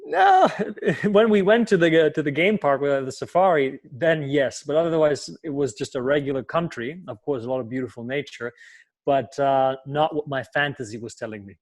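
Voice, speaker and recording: A man's voice: a little high, moderate pace, dry recording. A young adult man from Germany, whose first language is German, answering interview questions in English with energy and plenty of fillers. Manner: energetic, enthusiastic, many fillers. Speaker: guest, life coach.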